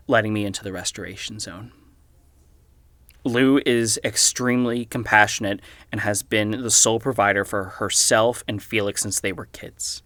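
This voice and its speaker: An actor playing an awkward, apologetic young amateur investigator in an audio drama, talking in a halting, stammering way. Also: male voice, fairly low pitch, fairly bright tone, no room sound, studio quality. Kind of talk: naturalistic audio drama acting.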